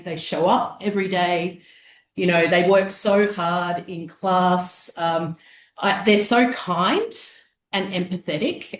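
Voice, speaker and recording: A woman's voice: lowish voice, a little hiss or hum. A middle-aged woman teacher speaking enthusiastically and effusively about her students. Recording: echoey room.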